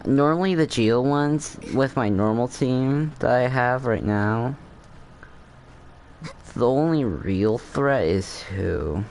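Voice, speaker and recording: A man giving casual gameplay commentary, halting and rambling as he thinks aloud. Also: medium pitch, lively intonation, a little mellow, slight background noise. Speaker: gaming streamer.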